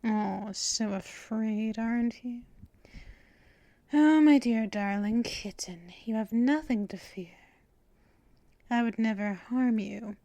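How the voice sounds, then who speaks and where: A woman voicing a possessive, teasing female vampire mistress in an ASMR audio roleplay, half-whispering in a breathy, intimate way. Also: fairly high pitch, takes it slowly, long pauses, warm tone, dry recording.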